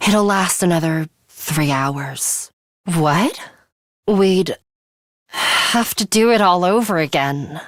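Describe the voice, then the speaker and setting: A woman voicing a composed, battle-hardened young woman in an English video game, speaking in a deliberate, matter-of-fact way. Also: lowish voice, no echo, studio quality. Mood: gentle, subdued. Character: young woman, composed battle-hardened time-looper, coolly urgent ally.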